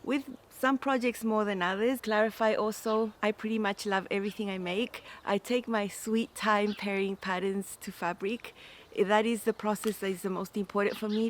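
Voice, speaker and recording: A woman's voice: mid-range voice, a little hiss or hum. A woman in her forties chatting casually and enthusiastically as the host of a sewing vlog. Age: 40s. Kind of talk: casual chat to camera.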